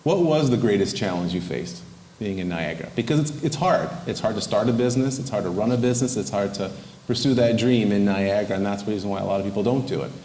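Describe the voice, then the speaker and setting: A man moderates a panel, firing off questions quickly in a somewhat low voice. His manner is brisk and friendly. The room is very echoey, with a long hall reverb and faint noise behind him. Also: dark tone. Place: large hall, live panel.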